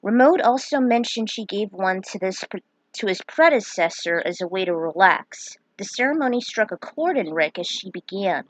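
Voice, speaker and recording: A woman's voice: medium pitch, lively intonation, moderate pace, dry recording, rough recording. Woman narrating a story, dry close sound. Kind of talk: reading a fan fiction story aloud with asides.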